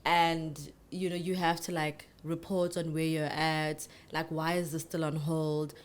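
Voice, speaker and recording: A woman's voice: low voice, little pitch movement, measured pace. A young woman co-hosting a podcast, talking in a chatty, rambling way with many restarts. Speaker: podcast co-host. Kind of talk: casual chat.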